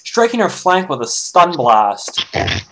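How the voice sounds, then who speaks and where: A man's voice: a little high, big pitch swings, a little slow, creaky, background noise. A man doing a casual dramatic reading of fan fiction, with joking asides.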